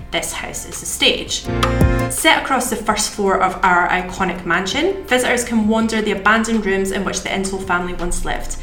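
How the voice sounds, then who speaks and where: A woman's voice: medium pitch, sharp tone. A woman hosting a podcast, giving a partly scripted introduction in a conversational way. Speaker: podcast host and marketing coordinator. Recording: roomy echo, faint music.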